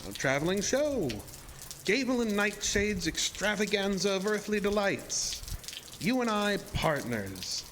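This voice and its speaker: A man's voice: high-pitched, very animated, unhurried, a bit bright, slight background noise, heavily acted, rough recording. A man playing a sinister, seductive illusionist showman in a horror audio drama, speaking in a theatrical, formal and insinuating way. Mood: persuasive. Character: sinister, seductive showman-illusionist, deceptively ageless male villain.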